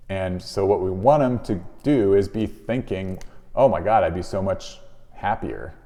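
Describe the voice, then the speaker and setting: A man's voice: sits low, expressive, unhurried, warm tone. A man giving informal, conversational instructional advice as a chiropractor presenting to viewers, explaining in plain everyday words. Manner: conversational, explanatory, voices example questions and replies. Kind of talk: informal instructional talk to camera. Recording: very reverberant, echoey room.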